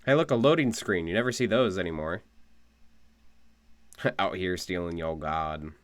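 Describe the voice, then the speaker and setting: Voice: sits low, normal speed. A game streamer giving casual live commentary in a laid-back way, with muttered asides and swearing.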